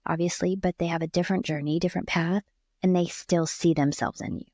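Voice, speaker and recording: Affirming woman host with a low voice. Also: fairly even intonation, fairly quick, darkish tone, no echo, studio quality. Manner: affirming, effusive, with frequent "yeah" backchannels. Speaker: podcast host. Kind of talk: conversational interview.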